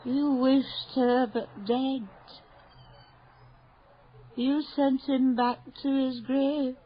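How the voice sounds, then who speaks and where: An actress playing a grieving, bitter old mother in a radio horror drama, speaking in an accusing, deliberate way. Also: sits high, flat delivery, lo-fi sound, heavily acted.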